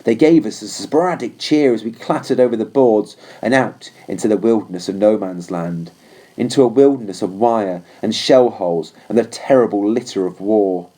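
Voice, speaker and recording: A man narrating a storybook as a loyal, earnest army horse in a measured way. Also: on the low side, dark tone, natural voice. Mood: sombre, earnest.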